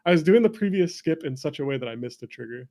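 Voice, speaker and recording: Creaky-voiced young man chatting casually. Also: fairly high pitch, expressive, quick pace, warm tone. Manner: informal, self-deprecating, peppered with "like". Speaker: interview guest, streamer and former speedrunner. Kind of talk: casual conversational storytelling.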